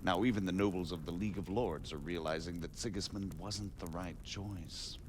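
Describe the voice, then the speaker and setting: A man playing a shrewd, diplomatic medieval margrave in a game cutscene, speaking formally and deliberately. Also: low-pitched, slight background noise, natural voice. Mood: grave, persuasive. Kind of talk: dramatic video game cutscene acting.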